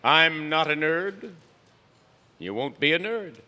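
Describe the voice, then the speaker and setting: An actor playing a folksy, patient male wizard mentor in comic stage theatre, speaking in a measured, instructive way. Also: fairly high pitch, animated, sharp tone, heavily acted. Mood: patient, indulgent. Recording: live theatre hall with long reverb.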